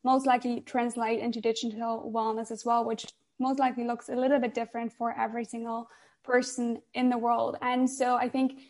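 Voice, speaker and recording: A woman's voice: a little high, darkish tone. A woman hosting a podcast interview, gushing and filling her talk with "like.". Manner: gushing, filler-heavy with "like". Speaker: podcast host.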